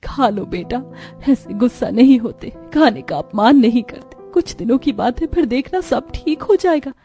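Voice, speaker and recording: A woman voicing a gentle, patient and worried young mother in a cartoon story. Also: a little high, expressive, moderate pace, soft background music. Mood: coaxing.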